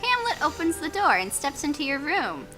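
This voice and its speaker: An excitable, geeky woman playing a story game, reading it out playfully with self-mocking asides. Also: high voice, normal speed. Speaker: gaming channel player reading out a story game. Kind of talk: playful Let's Play reading with joking asides. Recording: music playing behind the voice.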